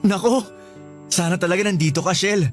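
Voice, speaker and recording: A man's voice: sits high, brisk, over music, close to an everyday voice. An actor playing a lovesick man in a radio drama, coaxing and pleading with his married lover. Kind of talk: Filipino radio drama acting.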